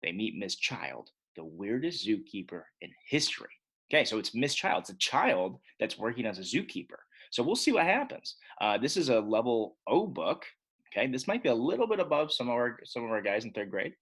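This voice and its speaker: Animated, husky-voiced male teacher. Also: mid-range voice, a little fast. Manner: animated, chatty, addresses pupils directly. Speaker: elementary school teacher. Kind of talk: casual read-aloud lesson for children.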